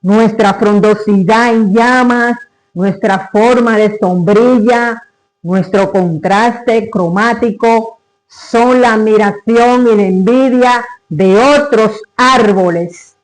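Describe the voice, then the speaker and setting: A man reciting a literary monologue in a solemn, declamatory, deliberate manner. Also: high-pitched, steady tone, poor audio.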